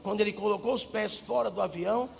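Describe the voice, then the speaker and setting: High-voiced fervent preacher man, hall echo. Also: quick pace, vocal fry. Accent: Brazilian Portuguese. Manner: fervent, swings from loud shouts to low asides. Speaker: pastor. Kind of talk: preaching a sermon. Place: large church hall. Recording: hall reverb with faint music.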